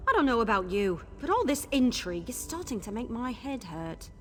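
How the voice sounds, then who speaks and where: A spirited, talkative adventurer in a fantasy video game. Her voice is fairly high and swings expressively up and down. A long reverb surrounds it. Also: very animated, brisk, slight background noise. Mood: wry, determined. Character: spirited, chatty female adventurer ally. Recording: long reverb, music underneath.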